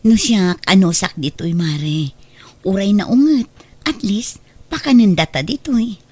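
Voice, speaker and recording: Lady acting as a filipina mom working abroad, radio drama, caring and earnest, medium pitch. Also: animated, unhurried, darkish tone, husky. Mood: weary. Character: earnest, self-sacrificing mother working abroad.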